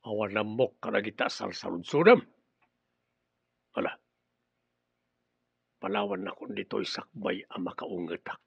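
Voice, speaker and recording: An actor playing a ruthless, short-tempered, greedy crime boss in a radio drama, domineering and mocking. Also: male voice, fairly low pitch, lively intonation, unhurried, creaky, pauses often, husky, no echo, exaggerated voice. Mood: irritated. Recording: dull lo-fi audio with faint hiss.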